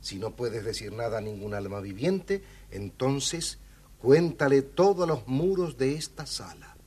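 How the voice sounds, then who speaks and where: An actor playing a kindly, patient king with a husky voice in a children's audio storybook. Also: medium pitch, expressive, measured pace, dark tone, a little hiss or hum, close to an everyday voice. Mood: kind, coaxing. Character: kindly, theatrical old-fashioned king, patient and curious.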